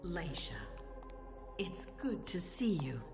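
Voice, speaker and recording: A regal, commanding vampire woman, measured and calculating. Her low voice moves through a wide range, with faint music behind. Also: sing-song. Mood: gracious. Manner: measured, authoritative. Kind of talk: dramatic video game cutscene acting.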